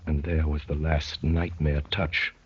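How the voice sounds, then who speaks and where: An actor playing a wry detective-poet, narrating his adventure in a measured, literary 1930s radio drama style. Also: rumbling low, little pitch movement, husky, exaggerated voice. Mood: hushed, ominous. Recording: muffled vintage 1930s radio recording.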